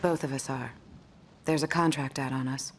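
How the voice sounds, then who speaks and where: A cool fugitive in a noir game. She is guarded, controlled and urgent. There is clear noise behind her voice. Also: rumbling low, fairly even intonation, normal speed. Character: cool, guarded woman fugitive and murder suspect.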